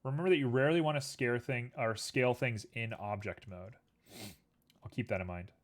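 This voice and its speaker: A man's voice: mid-range voice, moderate pace. A young man streaming live, chatting casually and rambling to his viewers while building a 3D scene. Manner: relaxed, rambling, talks to chat and to objects on screen.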